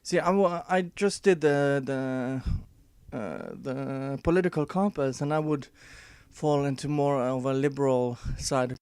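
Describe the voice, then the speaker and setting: A man's voice: mid-range voice, unhurried, a little mellow, clean tone, no echo. A man whose first language is not English, giving casual commentary and reading an article aloud, with many fillers and restarts and dry sarcastic asides.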